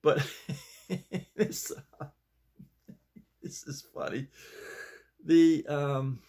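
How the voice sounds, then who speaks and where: An older man in his sixties or beyond chatting casually to camera in a folksy, halting way, leaning on repeated emphatic phrases. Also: a little high, fairly even intonation, dark tone. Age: 60s or older. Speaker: vlog host and amateur playwright. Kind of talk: casual rambling chat to camera. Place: small home study, indoors.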